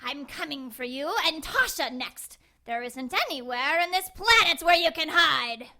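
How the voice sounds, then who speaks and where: A woman's voice: sits high, moderate pace, heavily acted. A woman playing a ruthless witch queen in an audio drama, imperious and gloating as she commands henchmen. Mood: gloating, menacing. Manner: imperious, gloating, shouting orders.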